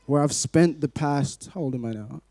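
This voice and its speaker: A man in his twenties from Glasgow, born in Malawi, speaking to a congregation as a guest giving testimony, in a measured, poetic way. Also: a little high, dark tone, no echo. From Glasgow, Scotland, born in Malawi. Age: 20s. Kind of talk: rhythmic spoken-word poetry in places.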